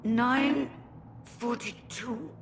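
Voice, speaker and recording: A wasted, dying captive woman in a fantasy game. She speaks very slowly in a strained, breathy, fairly high voice. Clear ambient noise sits behind her. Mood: desperate pleading. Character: dying imprisoned mage woman wasted by red lyrium. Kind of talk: dramatic fantasy game dialogue.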